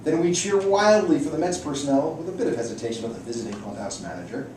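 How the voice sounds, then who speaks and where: A man's voice: fairly high pitch, lively intonation, fast talker. A man reading a personal essay aloud to an audience. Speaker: reader at a library book reading. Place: indoor room at a public library event. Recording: echoey room, distant mic, clear noise.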